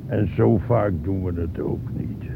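Deep-voiced grumbling older husband. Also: male voice, a little slow, vocal fry, exaggerated voice. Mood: worried. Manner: terse, grumbling. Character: grumbling, worried older husband driving a car. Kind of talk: naturalistic radio drama acting. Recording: tinny, noisy recording with vehicle rumble.

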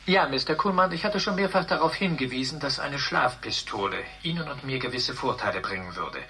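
An actor playing a polite, fussily correct service robot in a German radio drama, speaking in a formal, precise butler manner. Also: male voice, medium pitch, lively intonation, brisk, bright, forceful tone, a little hiss or hum. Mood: politely insistent.

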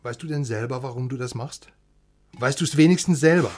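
A man's voice: medium pitch, a little fast, a little mellow, faint music behind, natural voice. An actor playing an exasperated, wounded friend in a radio drama, agitated and needling in the way he talks. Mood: reproachful, probing. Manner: agitated, needling, repeats himself. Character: exasperated, wounded West German friend, passionate and probing. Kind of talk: naturalistic radio drama acting.

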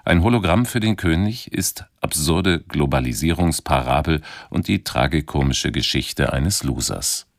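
A man's voice: very deep voice, lively intonation, a little slow, creaky, hardly any pauses, dry recording. A man giving a scripted literary introduction on radio as a presenter. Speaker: programme presenter.

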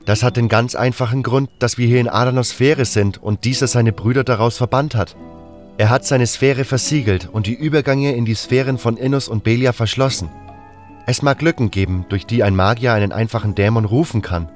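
A man's voice: mid-range voice, steady tone, a little fast, natural voice. Learned apprentice mage , male reader, pondering and explanatory, fantasy audio drama, music underneath. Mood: sceptical, lecturing. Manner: explanatory, reasoning step by step.

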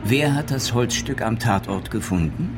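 An actor playing a shrewd, authoritative police inspector in a radio crime drama, probing and deliberate as he questions and narrates. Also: mid-range voice, lively intonation, over music, close to an everyday voice. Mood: insistent, decisive. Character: shrewd, insistent male police inspector narrating his case.

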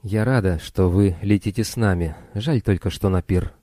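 A frank, curious young woman who pilots starships, read by a male narrator. She speaks in an earnest, matter-of-fact way, with a soft dark tone in a dry audiobook recording. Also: sits low, moderate pace, dry recording, close to an everyday voice. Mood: curious, warm.

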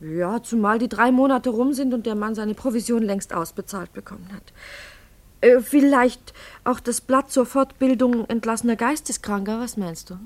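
High-voiced, anxious wife played by a woman. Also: normal speed, natural voice. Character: Anita, anxious, soft-hearted wife and mother of six. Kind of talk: German radio play dialogue.

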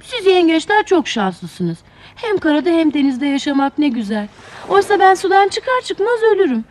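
A woman's voice: very high voice, big pitch swings, normal speed, voice pushed up. A woman voicing a cute, eager little fish in a children's story, tender and exclamatory. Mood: wistful. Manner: exclamatory, wistful, affectionate.

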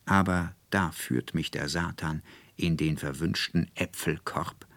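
A man playing a hapless young student in a self-pitying, excitable theatrical monologue for a storybook reading. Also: rumbling low, a little slow, no echo, full character voice. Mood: wistful, indignant. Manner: excitable, theatrical monologue with mimicked polite phrases.

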